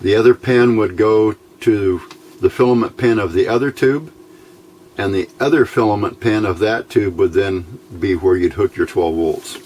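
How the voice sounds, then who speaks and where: A man giving an informal hands-on electronics tutorial, talking haltingly as he thinks aloud. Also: on the low side, darkish tone, a little hiss or hum. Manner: halting, thinking aloud while handling parts. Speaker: electronics hobbyist presenter.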